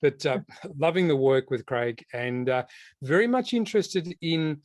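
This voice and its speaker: A man's voice: fairly high pitch, animated, a little slow, no echo. A middle-aged man with a New Zealand accent, an education consultant introducing himself at a conference session with jokey, self-deprecating asides. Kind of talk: conference presentation.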